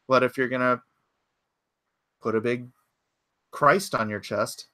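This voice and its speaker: A man's voice: mid-range voice, fairly even intonation, takes it slowly, pushed and bright, no room sound, studio quality. A man in his forties hosting a casual livestream from his home computer, rambling and thinking aloud as he answers chat comments. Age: 40s. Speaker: livestream host.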